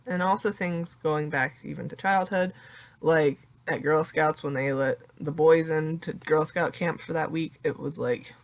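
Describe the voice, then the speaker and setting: Low-voiced young woman, chatty vlog. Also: gravelly, dry recording. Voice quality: deeper voice. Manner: chatty, many "like" fillers, laughs often. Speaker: vlogger. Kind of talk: casual confessional vlog.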